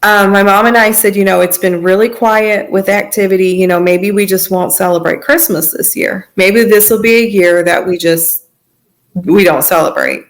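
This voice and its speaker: A woman telling her personal story as a podcast guest, in a conversational, earnest and halting way. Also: medium pitch, steady tone.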